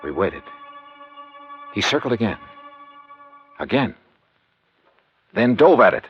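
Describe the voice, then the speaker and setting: A man's voice: fairly low pitch, sing-song, slow, fairly bright tone, faint music behind, heavily acted. An actor playing a tough, wry insurance investigator, narrating his case in clipped, dramatic old-time radio style. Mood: tense narration. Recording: dull vintage radio recording.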